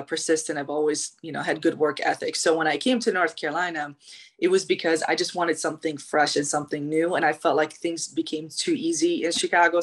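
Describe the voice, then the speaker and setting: A young adult woman from Iraq, a guest in an interview, telling her story in a chatty, animated way with many fillers and restarts. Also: low voice.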